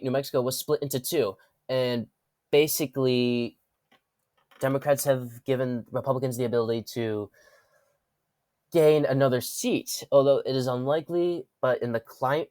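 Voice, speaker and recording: A man's voice: medium pitch, a little mellow, no echo. Congested man rambling through political commentary. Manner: rambling, with frequent restarts and self-corrections. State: congested from a cold. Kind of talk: casual explainer commentary.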